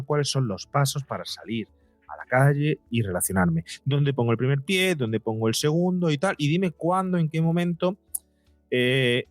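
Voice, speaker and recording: A man's voice: medium pitch, no room sound. A middle-aged man speaking as an interviewed psychologist, explaining earnestly and emphatically in a conversational way, often acting out imagined dialogue.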